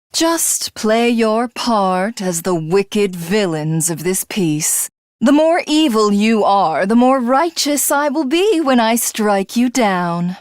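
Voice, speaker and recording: A woman's voice: medium pitch, no room sound, clean studio sound. An actress playing an arrogant, power-hungry alien warrior woman in a video game, with a haughty, grandiose and theatrical delivery. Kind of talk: video game character voice acting.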